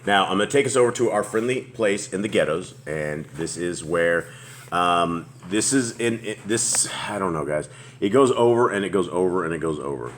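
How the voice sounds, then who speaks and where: A man with a booming voice hosting a casual group Bible study, rambling and restarting as he reads aloud. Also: lively intonation, moderate pace, slight background noise. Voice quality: deep booming voice. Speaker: host.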